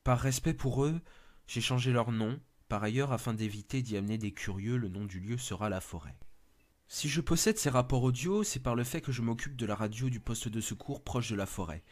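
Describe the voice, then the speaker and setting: A man's voice: mid-range voice, brisk. A man narrating a scripted first-person horror story.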